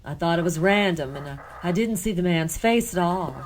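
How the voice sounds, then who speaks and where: A woman's voice: lowish voice, lively intonation, moderate pace, natural voice. A woman playing an anxious, shaken young witness in an audio drama, speaking emotionally.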